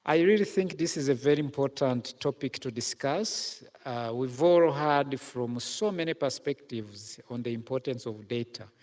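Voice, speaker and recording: Ugandan male panel moderator, slow and hesitant delivery, mid pitch, conference hall reverb. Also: lively intonation, a little mellow. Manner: slow and halting, many filler pauses.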